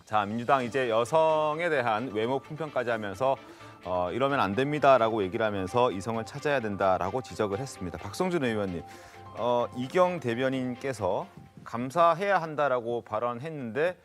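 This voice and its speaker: A man presents as the host of a TV news talk show. His pitch sits mid-range but moves in an animated way as he stresses key phrases. There is faint music under the studio talk. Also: normal speed. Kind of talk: broadcast news presenting and questioning panelists.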